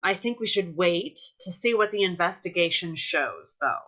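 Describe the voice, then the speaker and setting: A woman's voice: on the low side, animated, moderate pace, fairly bright tone, dull audio. A woman playing an earnest, placating activist group leader in a play reading, hesitant and often trailing off.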